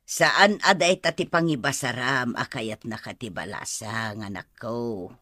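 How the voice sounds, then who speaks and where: A man's voice: mid-range voice, a little slow, sharp tone, no room sound, clean studio sound. A man with an Ilocano accent playing a skeptical, blunt friend who scolds a suitor in a radio drama. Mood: chiding.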